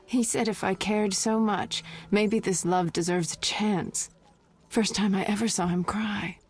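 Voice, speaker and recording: A woman's voice: mid-range voice, steady tone, measured pace, slightly rough, faint music behind. An actress playing a heartfelt, lovestruck woman in a video game, speaking earnestly. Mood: relieved, hopeful. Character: lovestruck, heartfelt woman pleading for her beloved. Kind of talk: video game dialogue acting.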